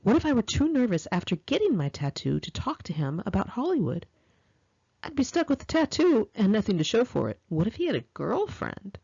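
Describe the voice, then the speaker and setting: An American woman reading her own memoir aloud in an expressive, confiding, conversational storytelling style, with girlish slang. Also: mid-range voice, fairly quick, creaky, slightly rough, no room sound, lo-fi sound. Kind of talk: first-person audiobook narration, conversational and dramatic.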